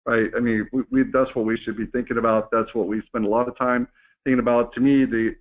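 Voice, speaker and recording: A man's voice comes through a thin, band-limited phone conference line, at a middling pitch. He presents like an executive addressing staff, in an informal business style. His delivery is candid and conversational. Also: level tone, fast, phone-quality sound. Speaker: company executive hosting a staff call. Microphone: conference call phone line.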